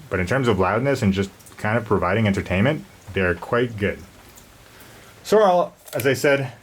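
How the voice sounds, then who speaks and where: A man giving a casual, unscripted product review, rambling and repeating words as he goes. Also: on the low side. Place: garage during a thunderstorm. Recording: noisy garage with storm ambience.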